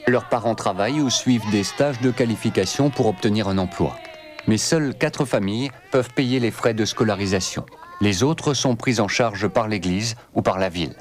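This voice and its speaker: A man's voice: fairly low pitch, normal speed. A man reading scripted narration for a documentary.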